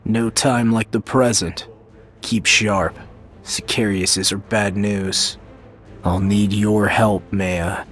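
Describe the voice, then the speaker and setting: Lowish-voiced, offhand young fighter. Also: male voice, steady tone, slow, a bit bright, faint music behind. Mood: cool, brisk. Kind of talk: video game voice acting.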